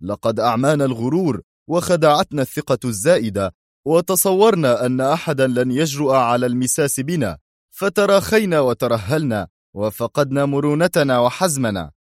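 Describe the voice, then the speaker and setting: A man's voice: fairly low pitch, lively intonation, a little fast, no echo, studio quality, natural voice. A man playing a hot-tempered crime boss. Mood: furious. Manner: sharp and commanding. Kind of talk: audio drama acting.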